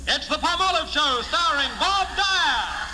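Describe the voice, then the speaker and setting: Old radio announcer guy, aussie, really bright showy sales voice, hissy recording in a big echoey hall. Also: very high-pitched, lively intonation, measured pace. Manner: showy, emphatic sales delivery.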